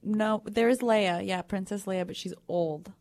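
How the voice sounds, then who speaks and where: A woman hosting a podcast in casual chat with a friend, talking in a fairly monotone voice with a dry, blunt humor. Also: medium pitch, a little slow. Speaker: podcast host.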